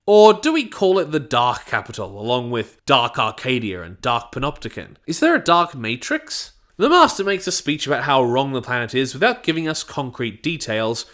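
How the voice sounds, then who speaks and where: Bright, animated man narrating a review. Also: medium pitch. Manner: wry, informal, sweary. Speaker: audio drama reviewer.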